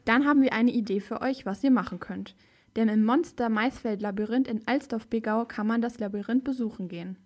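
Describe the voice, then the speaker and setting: A female reporter with a fairly high voice presents an event tip for young listeners. She reads from a script in a chatty, informal radio style. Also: fairly quick.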